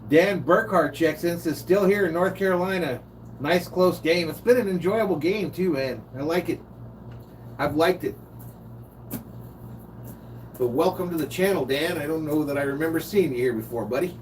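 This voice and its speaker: A man's voice: a little high, normal speed, pauses often. A man hosting a live stream, giving casual play-by-play and reading viewer comments aloud. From California. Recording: distant mic with faint noise.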